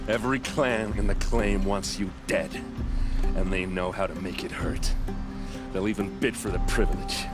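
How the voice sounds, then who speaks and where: An actor playing a grim, vengeful soldier in a video game, terse, blunt and threatening. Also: male voice, deep voice, steady tone, normal speed, close to an everyday voice. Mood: grim threat. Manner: terse, hard-edged. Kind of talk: video game cutscene acting. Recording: loud music underneath.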